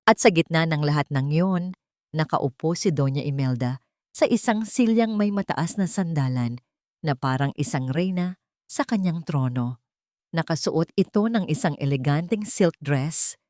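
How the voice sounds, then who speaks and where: Female storyteller, low-ish and soft-toned, measured dramatic narration, close dry sound. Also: animated, dry recording.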